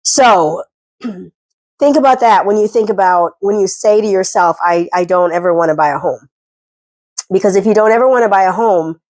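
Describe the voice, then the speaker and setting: A woman's voice: fairly low pitch, darkish tone, no room sound. A woman with a cold talking from home, giving chatty, earnest advice about getting out of debt in a self-deprecating way. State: congested from a cold. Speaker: personal finance vlogger. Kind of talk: casual talking-head advice.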